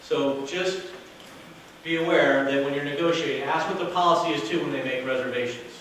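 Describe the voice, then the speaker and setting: A man giving a loud, casual workshop talk to an audience as a hotel sales director, with no microphone. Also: a little high, brisk. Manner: casual, chatty, with fillers. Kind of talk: workshop presentation to a live audience. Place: meeting room with an audience. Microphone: distant camera, no microphone. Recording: very echoey room from far off with noise.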